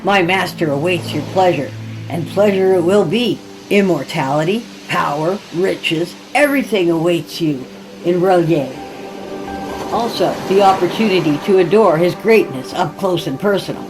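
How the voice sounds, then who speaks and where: A woman's voice: on the low side, moderate pace, music in the background, close to an everyday voice, low-quality recording. A woman voicing a drowned male corpse, a smug and courtly servant of a sea god, in a horror story reading. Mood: smug, coaxing. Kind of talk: horror audiobook character.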